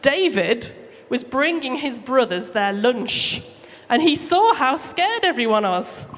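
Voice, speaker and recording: A woman telling a Bible story to children live at church, theatrical and emphatic with deliberate phrasing. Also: high voice, big pitch swings. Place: large room, live church service. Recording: echoey hall, clear background noise.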